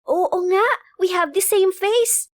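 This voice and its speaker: A woman's voice: very high-pitched, slow pace, no room sound, pitched above the performer's own voice. A woman voicing a sweet, scared little girl in a storybook narration, whiny and pleading. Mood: curious. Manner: whiny, polite childlike pleading.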